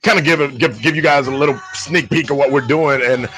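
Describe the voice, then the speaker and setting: A man from Puerto Rico hosts a casual live show in a bright, forward voice at an ordinary male pitch. He talks informally and directly to his listeners, as if to brothers. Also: a little fast, soft background music. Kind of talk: casual live-stream chat.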